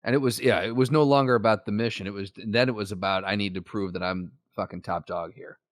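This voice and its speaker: A man's voice: on the low side, fast talker, no room sound, studio quality. A man co-hosting a podcast, chatting casually, swearing freely and joking as he argues a point. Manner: profane, animated, joking. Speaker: podcast co-host. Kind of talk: casual chat.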